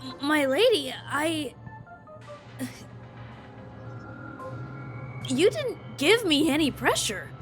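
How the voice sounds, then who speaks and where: A high, very animated boy's voice, for a loyal young guard in a video game. He is hesitant and deferential, with slow, halting delivery. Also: soft background music. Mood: flustered. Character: loyal, flustered young boy guard, deferential to his lady.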